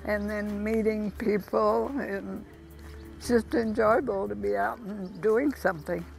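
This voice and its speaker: An elderly woman in her eighties speaks cheerfully, her voice gravelly. Faint music runs underneath. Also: mid-range voice, steady tone, unhurried. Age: 80s. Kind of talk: documentary interview. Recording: interview with faint background music.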